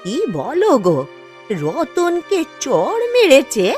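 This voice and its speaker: A woman's voice: high-pitched, huge pitch swings, slow, darkish tone, faint music behind, heavily acted. A woman voicing a concerned, plain-spoken village wife, fussing and soothing, in a cartoon. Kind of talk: cartoon dialogue acting.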